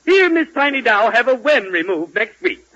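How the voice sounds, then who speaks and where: Casting: gushing 1940s radio announcer, very high and bright, lively sales patter, old noisy recording. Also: male voice, normal speed, heavily acted. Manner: gushing mock sales pitch, repeats slogans. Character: excitable radio announcer hawking a miracle drink. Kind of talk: 1940s radio comedy acting. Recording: noisy, dull vintage 1940s radio broadcast.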